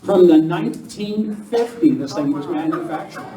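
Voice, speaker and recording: A man's voice: a little high, moderate pace. An older man doing casual, self-deprecating comic patter as an amateur actor on stage. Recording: echoey room, distant mic, crowd.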